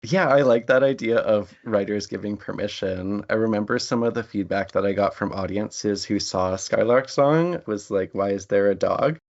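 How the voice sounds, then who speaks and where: A man's voice: on the low side, moderate pace, few pauses. A man speaking as a writer guest in a casual, reflective and chatty panel conversation. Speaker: interview guest, writer and playwright. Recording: dull lo-fi audio.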